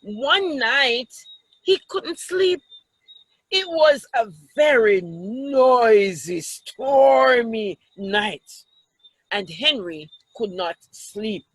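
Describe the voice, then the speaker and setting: A woman with a Jamaican accent reading a story aloud to children, expressive and teacherly, with asides to the listeners. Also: fairly high pitch, extremely slow, husky, no room sound, poor audio.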